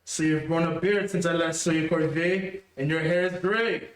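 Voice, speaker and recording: Man playing high-pitched, cowardly radio villain. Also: normal speed, natural voice. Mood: menacing. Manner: theatrical, excitable pleading and threatening. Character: treacherous, cowardly ex-convict, scheming and desperate. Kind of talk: old-time radio melodrama acting. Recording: echoey room, distant mic.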